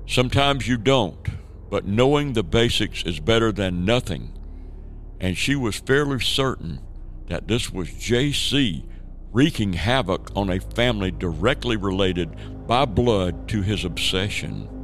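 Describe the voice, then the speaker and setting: A man narrating an audio drama in a measured storytelling way. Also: lowish voice, expressive, soft background music. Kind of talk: scripted narration.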